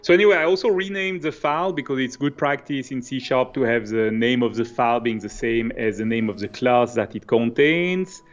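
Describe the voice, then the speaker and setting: A middle-aged man with a Swiss French accent, a native French speaker, calmly walking through code step by step on a live stream. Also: mid-range voice, fairly quick, few pauses, lo-fi sound. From Switzerland. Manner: explains step by step, relaxed and conversational. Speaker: co-host, developer presenter. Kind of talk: live coding stream with commentary. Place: home office, indoors. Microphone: webcam video call.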